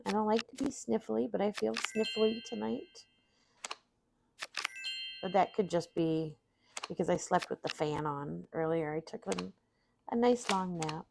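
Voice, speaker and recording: A woman's voice: medium pitch, a little slow, creaky, pauses often, noisy background. A middle-aged woman, sniffly and tired after vaccines, chatting casually and rambling about her day while playing solitaire. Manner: rambling, self-deprecating, talks to chat. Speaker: streamer.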